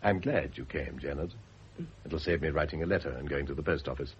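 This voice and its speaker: An actor playing an urbane widower, courteous, dry and evasive, in classic radio drama style. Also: male voice, rumbling low, a little fast. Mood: gentle, coaxing. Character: urbane, evasive widower, dryly deflecting a woman's advances. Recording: dull old radio recording, faint hiss.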